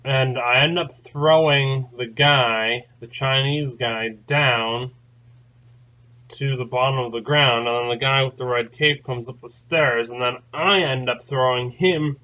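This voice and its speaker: A man talks at an unhurried, drawn-out pace, and his voice sounds bright and a little strained. He rambles casually and keeps circling back with fillers and restarts. The sound is dull and lo-fi. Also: medium pitch, steady tone. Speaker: channel host. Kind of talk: casual spoken recounting.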